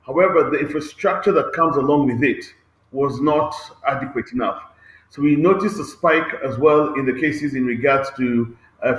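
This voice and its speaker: A man speaking on an online panel as head of a transport agency, explaining earnestly and checking in with "okay?". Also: mid-range voice. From Kenya. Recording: roomy echo.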